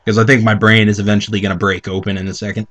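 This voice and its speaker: A man's voice: lowish voice, level tone, racing, a bit bright. A man co-hosting a comedic reading, giving sarcastic, crude commentary in a casual conversational way. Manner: sarcastic, crude-humored, swearing.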